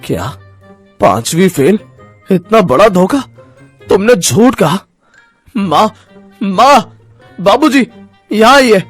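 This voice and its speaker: A man's voice: on the high side, animated, normal speed, darkish tone, no echo, faint music behind. A man voicing a proud, hot-tempered young husband with an emphatic manner in a cartoon story. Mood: furious, scornful. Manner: emphatic, scornful when crossed.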